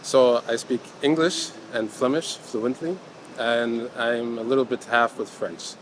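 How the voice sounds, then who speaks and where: A man from Belgium telling a relaxed story about his family in a casual street chat. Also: medium pitch, measured pace. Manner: relaxed storyteller, laughs easily. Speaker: interviewee. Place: outdoors on a city street. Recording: street with background noise.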